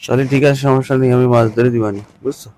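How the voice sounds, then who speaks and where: A man's voice: medium pitch, fairly even intonation, fast, warm tone, poor audio. A man in a casual, cajoling, colloquial phone chat. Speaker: caller in a staged phone conversation.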